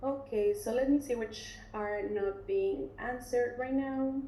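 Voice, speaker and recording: A woman with a mellow, soft-edged voice, talking through a webinar as a recruitment officer. She keeps it loose and conversational, with plenty of ums and restarts. Her computer mic picks up a roomy echo and faint background noise. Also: fairly high pitch, takes it slowly. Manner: relaxed, chatty, many fillers and restarts. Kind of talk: webinar presentation and Q&A.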